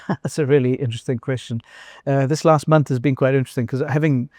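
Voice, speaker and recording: An older man from South Africa with a South African accent, talking thoughtfully in an interview, pausing often with fillers and restarts. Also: mid-range voice, fairly even intonation, a little mellow, no room sound, studio quality. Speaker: guest, former company CEO. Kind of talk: casual interview conversation.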